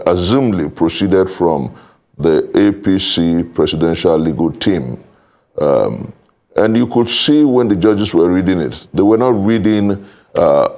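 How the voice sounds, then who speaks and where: A Nigerian man speaking as a panel guest, giving political commentary in a deliberate, rhetorical way with formal wording. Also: low voice, a little mellow.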